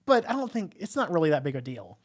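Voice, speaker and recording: A man's voice: fairly high pitch, big pitch swings, fast talker. A man hosting a podcast, casually discussing films in a rambling way with frequent filler phrases and hesitations. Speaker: podcast host reviewing films.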